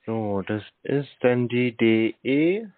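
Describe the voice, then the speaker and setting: A man's voice: mid-range voice, expressive, takes it slowly. A man in his thirties answering a phone call in short, clueless-sounding replies. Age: 30s. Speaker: prank call recipient of a scam call. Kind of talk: phone conversation, brief replies.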